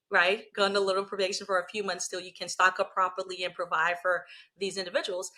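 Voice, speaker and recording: A woman's voice: mid-range voice, bright, forceful tone, low-quality recording. A woman from Liberia, a lawyer and coach, talking through her career as an interview guest in a reflective, explaining way. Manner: reflective, explanatory, frequent "you know" and "right?". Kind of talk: conversational interview.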